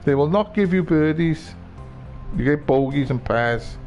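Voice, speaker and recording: A man's voice: fairly high pitch, lively intonation, measured pace, a little mellow, lo-fi sound, soft background music. A man live-streaming a video game with casual commentary, grumbling, swearing and repeating himself.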